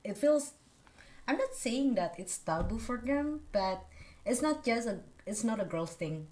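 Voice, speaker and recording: A woman's voice: a little high, animated, a little slow, a crowd in the background, low-quality recording. A young woman from Indonesia with an Indonesian accent, hosting a casual interview and chatting with lots of fillers and laughs. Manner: chatty, laughing often, many fillers like "you know". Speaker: podcast host.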